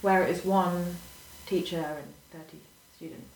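A woman, a guest chatting casually, whose phrases often trail off quietly. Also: on the low side, steady tone, measured pace. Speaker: guest, former teacher. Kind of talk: casual conversation. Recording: distant echoey mic with faint noise.

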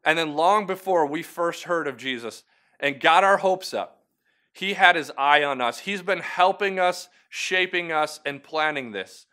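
Bright-voiced earnest male devotional host. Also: on the high side, measured pace. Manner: earnest, encouraging, conversational with asides. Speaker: host of a weekly video devotional. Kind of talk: short devotional talk to camera.

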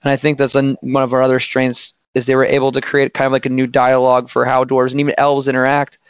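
A man with a slightly hoarse voice, chatting casually on a podcast with many restarts and fillers. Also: medium pitch, monotone, quick pace, no room sound.

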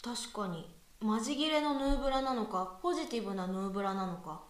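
A woman's voice: on the high side, lively intonation, a little fast, close to an everyday voice. A woman playing a skeptical, exacting young female university student with dry retorts in a conversational radio drama. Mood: reasoning, dry. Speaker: voice actress in amateur radio drama. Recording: echoey room, far from mic.

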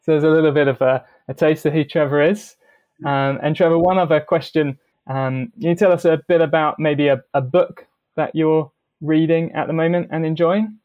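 A man hosting a podcast interview over a video call, relaxed and a little hesitant, with fillers. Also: on the high side, moderate pace, phone-quality sound. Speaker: podcast host. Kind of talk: friendly interview.

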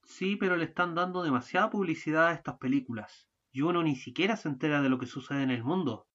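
A man in a student radio play acting a hurried, irritable husband, with a curt, grumbling delivery. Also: fairly high pitch, fairly bright tone, lo-fi sound. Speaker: radio drama actor.

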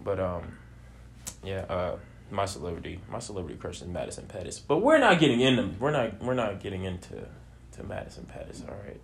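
A man's voice: low voice, big pitch swings, fairly quick, vocal fry. A man in casual chat, teasing and laughing often, his voice a bit nasal and sniffly from allergies.

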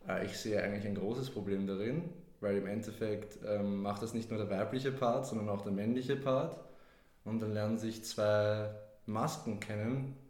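A man's voice: on the low side, fairly even intonation, normal speed, a little mellow, reverberant. A man in his twenties, a podcast guest, answering thoughtfully. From Vienna, Austria. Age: 20s. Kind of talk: casual podcast chat. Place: podcast studio.

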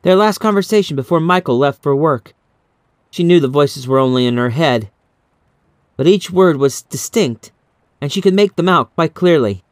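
A man reading a scripted horror story in a measured, dramatic, suspenseful way, as an audiobook narrator. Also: on the high side, dry recording, studio quality.

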